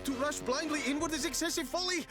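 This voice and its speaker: A man's voice: extremely high pitch, fast talker, loud music behind the voice. A man playing an anxious young retainer with stiff, awkward formal phrasing and a stammer. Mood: fretful. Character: anxious young male retainer, devoted and fretful. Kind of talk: game cutscene acting.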